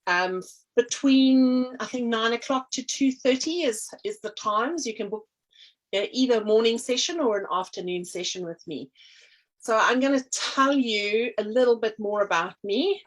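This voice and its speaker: A woman's voice: a little high, moderate pace, low-quality recording. A middle-aged South African woman talking casually in an interview, meandering with many ums and restarts. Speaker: energy healer and artist, guest.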